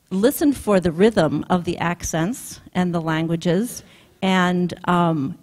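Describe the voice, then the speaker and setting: Low-voiced woman giving a keynote. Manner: relaxed, with frequent ums. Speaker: project manager presenting a keynote. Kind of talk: conference keynote talk. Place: conference hall.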